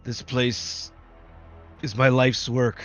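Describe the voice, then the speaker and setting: A man's voice: mid-range voice, level tone, slow, a bit bright, dull audio, faint music behind. A man playing a stubborn, fatalistic scientist devoted to his undersea station in a tabletop game. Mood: resolute.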